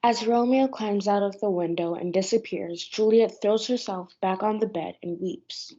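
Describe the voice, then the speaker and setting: A young woman narrates a play from a script. Her voice is soft-toned and mid-pitched. The recording is a bit dull. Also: moderate pace.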